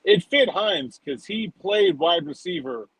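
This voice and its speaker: Male sports podcaster with a higher-pitched voice. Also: animated, unhurried, fairly bright tone, slightly rough, no room sound, rough recording. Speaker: sports reporter and podcast co-host. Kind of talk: casual sports podcast chat.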